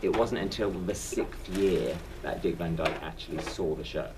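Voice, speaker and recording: Deep-voiced London man, off-mic. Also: normal speed, recorded from a distance, noisy background. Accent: London English. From England. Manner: chatty anecdotal storyteller with frequent restarts. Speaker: interview guest, stage actor. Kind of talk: casual interview chat.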